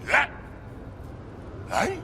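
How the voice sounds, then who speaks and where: An actor voicing a brutish, battle-hungry ork warboss in a video game, with a gravelly roar and crude, taunting slang. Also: male voice, very slow, noisy background. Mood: belligerent. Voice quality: gravelly roaring. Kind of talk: video game cutscene acting.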